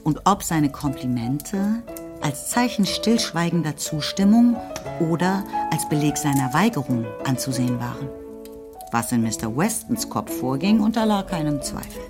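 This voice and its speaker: A woman narrating a radio play in a measured, lightly ironic manner. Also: low voice, very animated. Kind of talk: scripted literary narration. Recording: narration over music.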